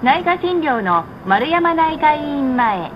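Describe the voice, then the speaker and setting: A woman reading scripted stop announcements on a bus in a measured, formal, polite manner. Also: sits high, big pitch swings. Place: played over speakers inside a moving bus. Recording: muffled, noisy recording inside a bus. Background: bus engine and road noise.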